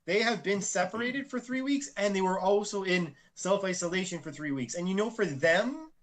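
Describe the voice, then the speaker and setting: A man's voice: high voice, moderate pace, a bit bright. A man co-hosting a podcast, telling a rambling everyday story in casual chat and restarting his sentences often. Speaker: podcast co-host.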